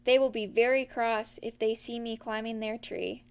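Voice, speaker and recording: A woman voicing a gentle, earnest, honey-loving storybook bear who frets mildly. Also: fairly high pitch, level tone, moderate pace, clean tone, natural voice. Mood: glum, earnest.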